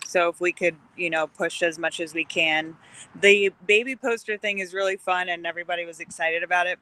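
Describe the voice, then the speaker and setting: Woman, very bright and smooth, friendly update from her car. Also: lowish voice, low-quality recording. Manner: friendly, hesitant, many ums. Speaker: fundraising organizer. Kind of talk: casual meeting update. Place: parked car.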